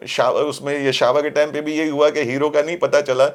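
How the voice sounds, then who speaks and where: A man's voice: fairly high pitch, little pitch movement, a little fast. A man interviewing a guest in casual conversation, stressing his points by repeating phrases. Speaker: interviewer and host of a film-talk show.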